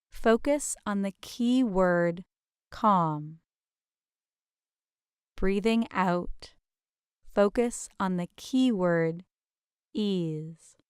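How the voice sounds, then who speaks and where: Slow, smooth-voiced woman guiding meditation. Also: medium pitch, dry recording, studio quality. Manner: gentle, soothing, invitational, with deliberate pauses. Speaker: meditation guide. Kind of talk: guided meditation narration.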